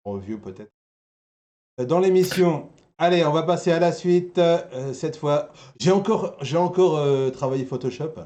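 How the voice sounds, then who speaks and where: Male host, slightly high-pitched, bantering and teasing in casual talk. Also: animated, moderate pace, mellow.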